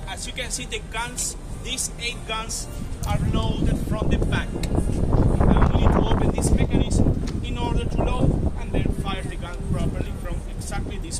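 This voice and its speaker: High-voiced male guide with the flu. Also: steady tone, moderate pace. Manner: explanatory, tags sentences with "okay?", wry asides. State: has the flu. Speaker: tour guide at a gun battery. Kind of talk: live guided demonstration. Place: outdoors at a harbour battery. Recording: distant voice with loud outdoor noise.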